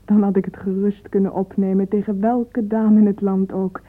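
An actress playing a dreamy, lovesick young woman in a radio play, thinking aloud in a husky, yearning voice. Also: medium pitch, little pitch movement, normal speed, natural voice. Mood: haughty, dreamy. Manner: wistful, yearning. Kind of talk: radio drama interior monologue. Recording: muffled, phone-like radio play recording.

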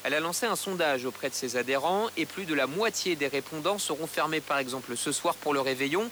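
A man's voice: fairly high pitch, quick pace, fairly bright tone. A man reporting in a scripted radio news style. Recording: hissy FM radio recording.

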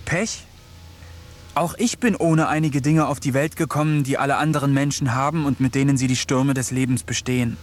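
A man's voice: medium pitch, steady tone, brisk, soft background music, natural voice. A man playing a lonely, sardonic village eccentric who reads his diary in a dry radio-play narration with mocking asides. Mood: brooding. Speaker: narrator and lead.

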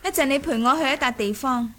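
Young princess, high voice, playful, commanding, poised, radio play. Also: female voice, fast, bright, forceful tone. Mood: curious, commanding. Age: young adult. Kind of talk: Cantonese audio drama acting.

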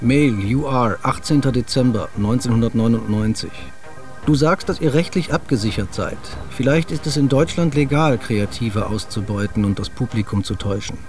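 An actor playing an indignant activist who reads out an accusatory email in a pointed, admonishing manner. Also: male voice, mid-range voice, normal speed, a little mellow, close to an everyday voice. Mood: stern, accusing. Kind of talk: dramatised letter reading. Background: faint music.

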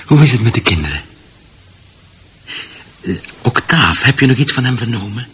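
An actor playing a confused, gentle husband who is shut away, speaking haltingly and repeating himself in a radio drama. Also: male voice, medium pitch, big pitch swings, slightly rough, heavily acted. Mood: tender, vague. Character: confused, gentle confined husband fretting about his garden.

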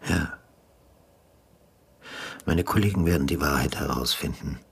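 A man playing a grave, experienced police detective in a radio drama, speaking in a measured, careful, sombre way. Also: rumbling low, creaky, breathy edge, exaggerated voice. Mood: grave, quiet. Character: grave, experienced police detective who delivers death notices. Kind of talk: literary radio drama narration and dialogue.

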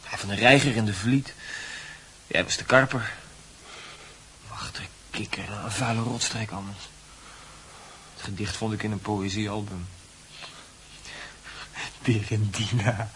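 An actor playing a lovesick, proud and touchy schoolboy in a radio drama, with an emotional, argumentative manner. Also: male voice, on the low side, steady tone, unhurried, long pauses, sharp tone. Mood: brooding, confiding. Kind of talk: Dutch radio drama acting. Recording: noisy radio play recording with ambience.

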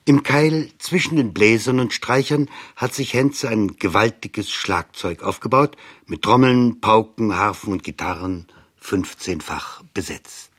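A man's voice: fairly low pitch, measured pace, a bit bright, slightly rough. A man reading scripted literary narration for a radio feature. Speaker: reader.

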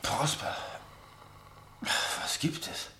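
An actor playing a drowsy male companion woken at night, murmuring in a hushed, sleepy way in a 1960s German radio play. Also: drawn-out words, whispered. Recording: roomy vintage radio drama recording.

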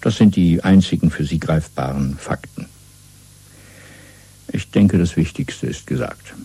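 An actor playing a calm, commanding middle-aged party official in a German radio play, speaking in a measured, didactic and coolly authoritative way. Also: male voice, a bass voice, husky, no echo, full character voice. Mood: grave, stern.

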